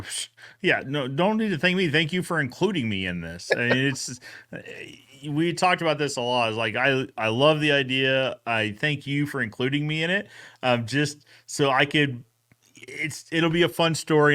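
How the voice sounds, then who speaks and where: A man's voice: medium pitch, expressive, normal speed, sharp tone. A man hosting a podcast recap in a casual, enthusiastic chatting style. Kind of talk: casual chat.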